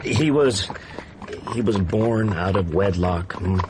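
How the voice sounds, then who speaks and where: An actor playing an inquisitive American senator visiting England, asking probing questions in a radio drama. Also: male voice, on the low side, takes it slowly, noisy background. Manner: curious, probing questions, dry remarks. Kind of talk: BBC radio drama acting.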